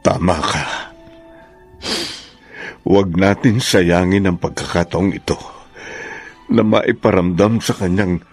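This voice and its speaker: A man's voice: deep voice, fairly even intonation, takes it slowly, long pauses, a little mellow, breathy edge, soft background music, heavily acted. A Filipino man playing a hesitant, regretful middle-aged father making peace in a radio drama. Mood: regretful, conciliatory.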